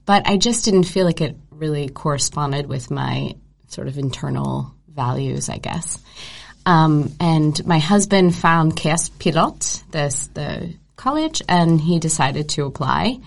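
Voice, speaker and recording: A woman in her thirties, a guest on a casual podcast, telling her story in a relaxed, measured way. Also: low-pitched, gravelly. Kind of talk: casual chat.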